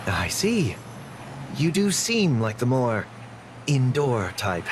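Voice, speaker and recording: An actor voicing a curious, calmly wry young man in a video game, with an understated manner. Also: medium pitch, slow pace, background noise.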